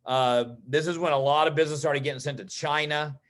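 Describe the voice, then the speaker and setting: An American man from Texas tells personal stories as a guest in an online meeting, in an informal, animated way that often acts out dialogue. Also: fairly high pitch, brisk. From Texas, United States. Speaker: interview guest, real estate agent and coach.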